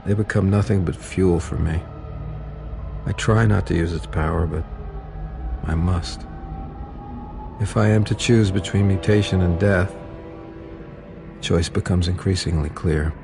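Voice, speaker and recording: A man's voice: deep voice, steady tone, a little slow, creaky, pauses often, over music. An actor playing a grim, weary infected soldier in a video game, narrating his thoughts in a brooding, understated, resolute way. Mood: dark, resigned. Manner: brooding, understated inner monologue.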